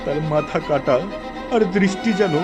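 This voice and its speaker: A man's voice: fairly high pitch, animated, moderate pace. A man narrating a scripted horror story in the first person, in a dramatic style. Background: music, night insects.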